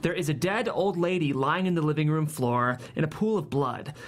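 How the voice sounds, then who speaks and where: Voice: mid-range voice, moderate pace. A narrator reading stage directions aloud at a table read of a TV script.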